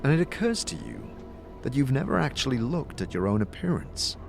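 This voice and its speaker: A man narrating a dramatic fantasy story in the second person, with a theatrical, expressive delivery. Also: medium pitch, a little fast, gravelly. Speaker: narrator and game master voice. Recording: music behind the voice. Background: music.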